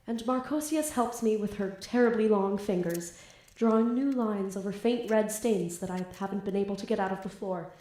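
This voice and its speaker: A woman's voice: mid-range voice, normal speed, mellow, lightly acted. A woman playing an awkward, eager young student who dabbles in demon summoning, in an amateur audio drama. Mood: brooding, dramatic. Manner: casual, hesitant, self-deprecating. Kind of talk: amateur audio drama acting with first-person narration. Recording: echoey room.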